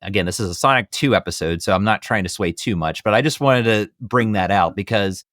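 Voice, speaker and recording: A man's voice: fairly low pitch, a little fast, a bit bright, dry recording, clean studio sound. A man in his thirties hosting a podcast, chatting casually about films in a rambling, emphatic way. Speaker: podcast host. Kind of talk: casual film review chat.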